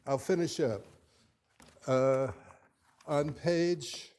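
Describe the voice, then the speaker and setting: A man arguing his case before an appellate court, speaking haltingly with many restarts and fillers. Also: a little high, fairly even intonation, darkish tone, a little hiss or hum. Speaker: attorney arguing his own appeal. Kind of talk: courtroom oral argument.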